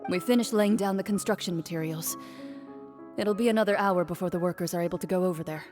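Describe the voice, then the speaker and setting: A woman's voice: on the low side, quick pace, a little mellow, soft background music, natural voice. A woman voicing a serious, reserved duelist in a video game, with cool, formal, understated delivery. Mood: composed, serious. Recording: long reverb on voice.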